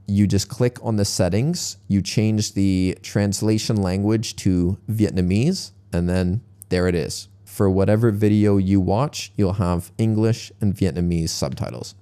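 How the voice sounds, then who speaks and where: Young male English tutor, unhurried and mellow, relaxed step-by-step explainer. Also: sits low, smooth. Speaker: English teacher. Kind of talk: casual tutorial for learners.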